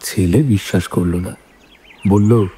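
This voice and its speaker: A man reading scripted literary narration as a storyteller, in a measured manner. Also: low-pitched, dark tone, a little hiss or hum. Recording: music bed behind the voice.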